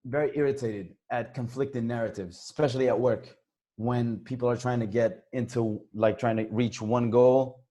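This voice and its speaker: Man from Brazil, low voice, halting, rambling question to a panel. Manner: rambling, halting, trails off quietly. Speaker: audience member asking the panel. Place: home, under quarantine.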